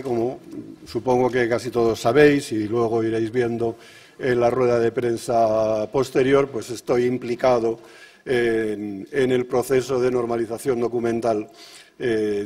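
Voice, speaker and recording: A man with a Castilian Spanish accent delivers a welcome speech at a conference. His voice sits at a middle pitch, and he proceeds in a measured, slightly halting way, checking in with short tag questions. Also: darkish tone. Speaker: museum official introducing an event.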